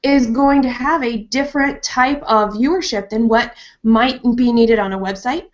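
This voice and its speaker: A middle-aged woman with a fairly high voice lays out her point to a panel in long sentences. The audio is a bit dull, like a video call. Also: moderate pace. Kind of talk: explanatory panel discussion. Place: home room with bookshelves, video call. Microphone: earbud headset on a video call.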